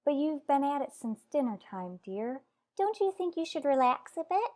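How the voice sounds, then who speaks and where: Actress as gentle radio drama wife, high voice, tentative, halting, affectionate. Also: female voice, very animated. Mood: gentle, hesitant. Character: gentle, hesitant wife longing to adopt an older boy. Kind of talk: old-time radio soap opera acting.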